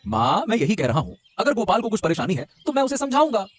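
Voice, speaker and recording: A man plays an earnest young husband in a moral-story cartoon. His high, very animated voice pleads and reasons with some hesitation, quickly, over music.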